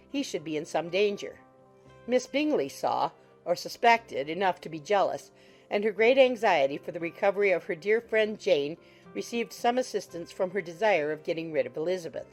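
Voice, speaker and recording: A woman reading scripted literary narration as an audiobook narrator. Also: on the low side. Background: soft music.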